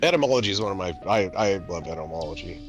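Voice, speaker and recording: A man's voice: deep voice, expressive, rapid-fire, soft background music. A middle-aged man chatting casually as a podcast co-host, with an encouraging, agreeable manner. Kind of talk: casual chat.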